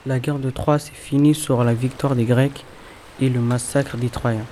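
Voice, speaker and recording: A man's voice: mid-range voice, fairly even intonation, a little slow, dark tone, slight background noise. A man reading scripted storytelling narration for an audio drama.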